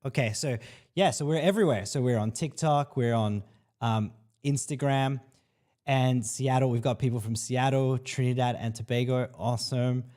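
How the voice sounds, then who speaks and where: Male livestream host, 30s, from El Salvador; casual upbeat chatter, mid pitch, heavy filler words. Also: moderate pace, a little mellow. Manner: chatty, upbeat, lots of fillers, reads comments aloud. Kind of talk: casual live Q&A stream.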